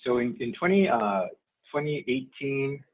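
A man's voice: medium pitch, animated, measured pace. A man in his forties from Saipan, whose first language is Chamorro, talking as an interview guest, hesitant with many fillers and restarts. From Saipan, Northern Mariana Islands. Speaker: guest, nonprofit staff member. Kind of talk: casual interview. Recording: tinny phone-like line.

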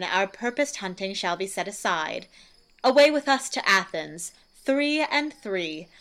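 A woman's voice: medium pitch, a bit bright. Commanding, gracious ruler, played by a woman, middle-register voice, formal Shakespearean verse for a radio play. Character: commanding noble ruler of Athens, stately and gracious.

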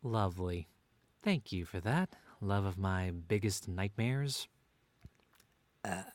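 A man's voice: deep voice, lively intonation, slow, darkish tone. A man playing a playfully bickering, doting stay-at-home dad in an audio drama, wry but earnest. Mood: wry, serious. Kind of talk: two-hander audio drama reading.

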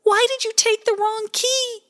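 A woman's voice: very high-pitched, steady tone, a little slow, fairly bright tone, voice pushed up. A woman voicing a small, greedy storybook hero who is earnest and pleading. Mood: worried.

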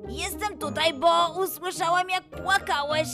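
A woman's voice: very high voice, fairly even intonation, measured pace, over music. A woman voicing a curious, kind-hearted little boy lion in a children's audio fairy tale, exclamatory and wide-eyed. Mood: puzzled, caring.

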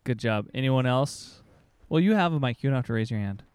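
A man's voice: mid-range voice, lively intonation, brisk, darkish tone, clear, resonant tone, dry recording. A man in his thirties hosting a casual family podcast, patiently guiding his children with questions. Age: 30s. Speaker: podcast host, father.

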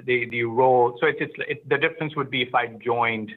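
A middle-aged man from Pakistan who grew up in Oman, telling his story as an interview guest in a reflective, halting way. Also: medium pitch, steady tone, phone-quality sound. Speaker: interview guest, business founder. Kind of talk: conversational personal storytelling.

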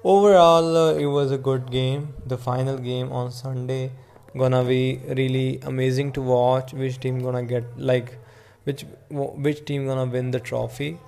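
A man with an Indian accent giving casual solo sports commentary as a podcast host, in a rambling, halting way. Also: mid-range voice, flat delivery, vocal fry, a little mellow.

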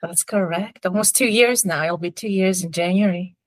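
A woman from Greece, a native Greek speaker, talking earnestly and gently as a podcast guest about her healing work. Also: on the low side, moderate pace, dry recording. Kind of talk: interview conversation.

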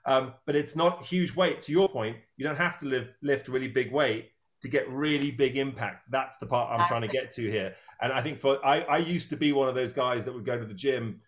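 A man's voice: mid-range voice, normal speed. A man in his fifties hosting an interview, talking in a rambling, self-deprecating way with many restarts. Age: 50s. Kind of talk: casual interview chat.

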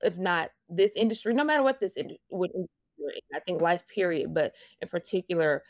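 A woman with a Louisiana Southern accent talks in a lowish voice, reflective and earnest, often laughing and starting her phrases over. The recording is close and dry, with no room sound. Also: expressive, a little fast, dry recording. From Louisiana, USA. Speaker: interview guest, fashion project manager. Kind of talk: casual conversational interview.

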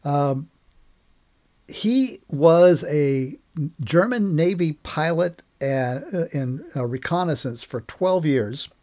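An older man speaking as a podcast host, introducing an interview in an informal, somewhat halting conversational way. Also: on the high side, expressive, warm tone.

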